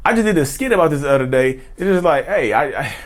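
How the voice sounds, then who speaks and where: A man's voice: a little high, animated, fast talker. A man hosting a casual vlog rant, emphatic and slangy, who keeps addressing his viewers directly. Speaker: YouTube host.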